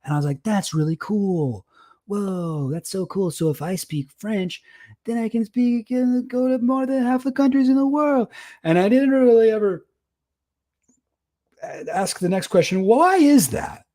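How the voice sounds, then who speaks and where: Mellow-voiced man rambling on stream. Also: high voice, unhurried. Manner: rambling, self-deprecating, laughs often. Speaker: streamer reacting to a debate. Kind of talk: casual livestream commentary.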